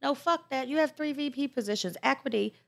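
An American woman talking candidly in a casual panel discussion, blunt, opinionated and quick to swear. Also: sits high, vocal fry, a bit bright. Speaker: podcast panelist.

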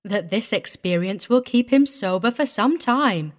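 Woman narrator doing a kind lady welfare worker, gentle, composed, kinda knowing, audiobook character. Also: mid-range voice, animated, measured pace, lightly acted. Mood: soft, amused. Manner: gentle, cheerful, careless drawl.

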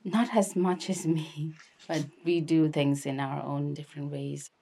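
A woman's voice: low voice, fairly even intonation, a little slow, gravelly, mellow. A young woman from Nepal with a Nepali accent, telling personal stories conversationally as an interview guest. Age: young adult. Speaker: interview guest, activist and filmmaker.

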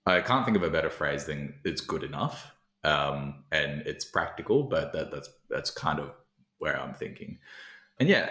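Man, extremely deep voice, reflective and chatty, echoey room. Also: a bit bright. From Australia. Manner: reflective, thinks aloud with fillers. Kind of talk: casual conversation. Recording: roomy echo.

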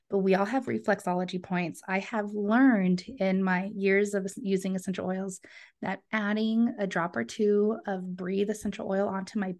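A woman co-hosting a podcast, chatting enthusiastically and speaking straight to her listeners. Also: mid-range voice. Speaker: podcast co-host. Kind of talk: casual podcast chat.

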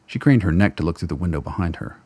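A man's voice: deep voice, expressive, quick pace, warm tone, breathy edge, no room sound. A man reading scripted narration for a radio drama as its narrator.

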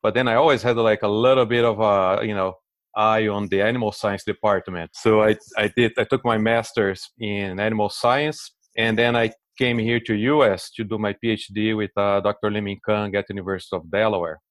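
A man's voice: lowish voice, steady tone, fairly quick, dry recording. A man in his forties from Brazil, speaking with a Brazilian Portuguese accent as a guest expert in a casual interview, explaining things with many fillers and restarts.

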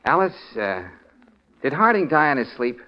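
An actor playing a suave, wry private investigator in a 1940s radio detective drama, cool and commanding with a flirtatious streak. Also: male voice, on the high side, big pitch swings, normal speed. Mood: flirtatious, probing. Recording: muffled old radio drama recording.

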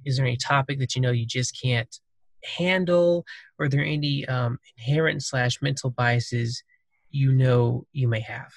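A man's voice: mid-range voice, fairly even intonation, a little slow, gravelly, no room sound. A man hosting a casual conversational podcast, talking loosely with plenty of fillers and restarts. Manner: loose, rambling, many fillers. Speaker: podcast host.

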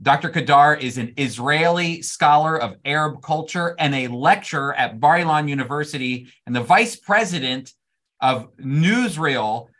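A man hosting an interview, friendly and halting with fillers. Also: a little high, lively intonation, fairly bright tone. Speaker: podcast host. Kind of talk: interview conversation.

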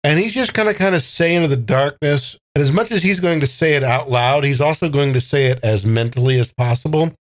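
A man's voice: a little high, a little fast, few pauses. A man who is a player in a tabletop role-playing game, improvising and talking casually about what his character does.

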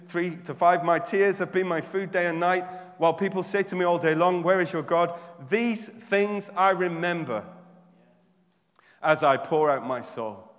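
A man preaching a sermon, turning from quiet reflection to loud, humorous exhortation. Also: fairly high pitch. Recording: long hall reverb.

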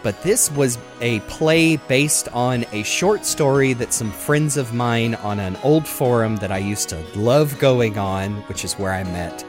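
A man's voice: mid-range voice, unhurried, few pauses, over music. A man hosting a casual chat show, talking in an informal, jokey and rambling way. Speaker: podcast host.

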